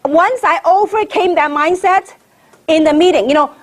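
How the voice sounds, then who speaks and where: A woman's voice: very high-pitched, normal speed. A middle-aged woman from Hong Kong giving a motivational training talk, emphatic and repetitive, firing rhetorical questions at the audience. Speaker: network marketing leader.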